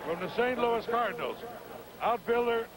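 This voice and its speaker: A man with a high voice calls out player introductions over a stadium loudspeaker. He speaks slowly and deliberately, pausing between each name. A loud roar of stadium noise surrounds his voice on this old 1980 broadcast. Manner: slow, deliberate, drawn-out pauses between names. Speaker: stadium public address announcer. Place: outdoor ballpark stadium. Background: loud crowd.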